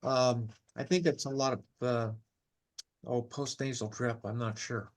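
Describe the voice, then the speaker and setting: A man in the class asking chatty questions, his voice a little rough from losing it. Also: mid-range voice, steady tone, unhurried. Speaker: student in the class.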